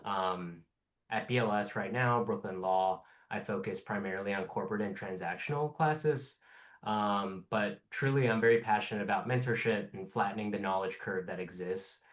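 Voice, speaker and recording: Man, 30s, law student panelist; low voice, direct and composed. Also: moderate pace. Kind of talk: panel self-introduction.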